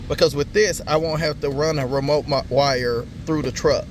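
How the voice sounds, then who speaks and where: A man's voice: on the high side, fairly even intonation, moderate pace. A man talking casually and informally in slangy, swear-sprinkled speech, as a vlogger walking viewers through hands-on work. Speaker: car audio vlogger. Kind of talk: casual hands-on install vlog talk. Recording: noisy vehicle and outdoor phone-video sound.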